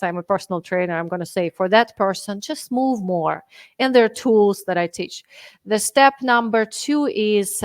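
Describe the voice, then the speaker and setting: A woman's voice: mid-range voice, dry recording. A woman from Russia in her thirties, speaking English as a second language with a Russian accent, earnestly explaining her coaching steps in a podcast interview. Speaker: health and fitness coach guest. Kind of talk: conversational interview.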